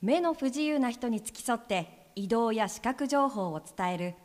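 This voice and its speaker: An actress playing an excitable female guide helper who narrates her own story in a radio drama. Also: a little high, moderate pace, big-room sound, soft background music, lightly acted. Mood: astonished.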